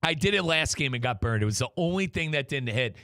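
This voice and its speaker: A man's voice: medium pitch, animated, fast, pushed and bright, dry recording, studio quality. An American man hosting a sports talk show, chatty and emphatic, speaking straight to listeners. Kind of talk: conversational sports talk with live sponsor reads.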